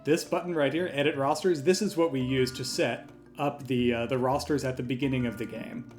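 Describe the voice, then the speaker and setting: A man hosting a software tutorial, talking through each step in an informal, explanatory way. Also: mid-range voice, steady tone, brisk, soft background music. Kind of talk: step-by-step screen tutorial narration, conversational. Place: voice-over for a screen recording.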